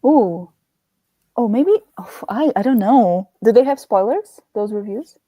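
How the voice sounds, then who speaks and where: A woman chatting casually as co-host of a book discussion, agreeable and quick to giggle. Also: on the high side, expressive, dark tone, no echo. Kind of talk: casual chat.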